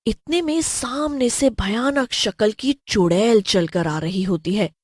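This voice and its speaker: A woman's voice: a little high, slow, dry recording, studio quality. A woman narrating a scripted horror story as a storyteller.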